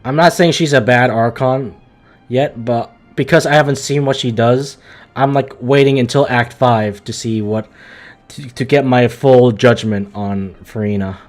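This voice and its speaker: A man's voice: medium pitch, unhurried, faint music behind. Casual, slangy male game streamer. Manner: slangy, stumbling, repetitive, emphatic. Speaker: game livestreamer. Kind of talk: casual live game commentary.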